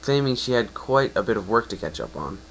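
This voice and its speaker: A man's voice: on the low side, big pitch swings, a little fast, a bit bright, slight background noise, low-quality recording. A man reading fan fiction aloud as a dramatic second-person narration, now and then tripping over phrases. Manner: stumbles and rereads phrases.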